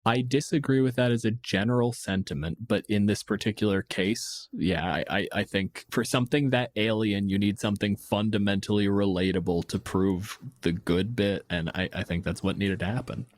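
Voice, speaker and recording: A man co-hosting a podcast, talking casually in a wry, measured, opinionated manner. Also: fairly low pitch, no room sound, clean studio sound. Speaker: podcast host. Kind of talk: casual chat.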